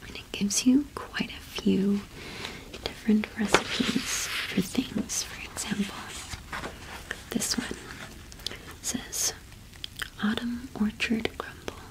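A young woman whispering an ASMR roleplay, explaining gently and softly with unhurried pauses. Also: medium pitch, fairly even intonation, low-quality recording. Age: young adult. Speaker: ASMR host in a cottage witch roleplay.

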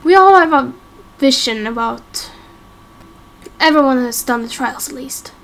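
Woman playing a solemn, slow-spoken fatalistic leader. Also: high-pitched, expressive, fairly bright tone, slight background noise, full character voice. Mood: grave. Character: grave, fatalistic leader of an underground people.

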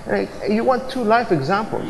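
A middle-aged man speaking on a business conference panel, earnest and halting with fillers. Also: high-pitched, animated, gravelly, big-room sound, a little hiss or hum, rough recording. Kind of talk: panel discussion. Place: large conference hall stage.

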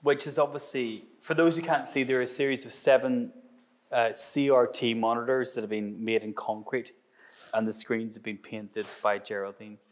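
A middle-aged man interviewing an artist in a gallery, asking measured, thoughtful questions. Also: medium pitch, steady tone. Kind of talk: public conversation.

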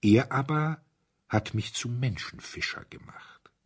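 Man reading as a husky, gently cheerful priest. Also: medium pitch, pitched above the performer's own voice. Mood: solemn, gentle. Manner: unhurried, solemn, good-humoured. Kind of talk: audiobook character acting.

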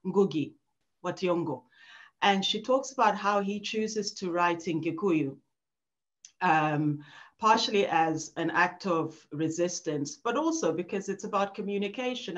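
A middle-aged woman giving a thoughtful academic talk from home on a video call, with frequent ums and restarts. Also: fairly low pitch. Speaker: author at a book launch. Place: home room. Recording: dull lo-fi video call audio.

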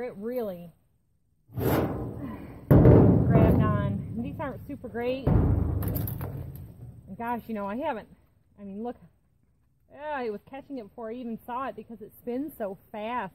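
A woman's voice: a little high, a little slow, pauses often, over loud music, rough recording. A woman in her forties talking casually while she works on a farm, thinking aloud as she goes, recorded outdoors by a camera nearby. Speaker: homesteading channel host. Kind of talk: casual talk while working. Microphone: camera on a tripod nearby.

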